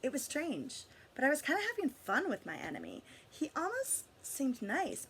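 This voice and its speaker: A woman with a fairly high voice reads a children's story aloud in an expressive way. She addresses young listeners directly, with questions and guidance. There is noticeable noise in the recording. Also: low-quality recording. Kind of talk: expressive picture-book read-aloud.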